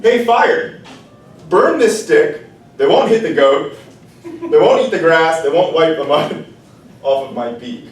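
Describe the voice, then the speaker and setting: A man's voice: fairly high pitch, animated, takes it slowly, fairly bright tone, voice pushed up. A man voicing a rude, cocky little rooster who barks orders in a raised voice. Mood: bossy. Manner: demanding, raised voice. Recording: echoey room, distant mic.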